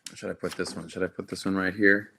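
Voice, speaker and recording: A man hosting a live chat with viewers, his voice a little raspy and quite bright and forward. He talks in a loose, rambling way, full of fillers and restarts, pitched somewhat low. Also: flat delivery, fast talker, slight background noise. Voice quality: slightly raspy. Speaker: livestream host.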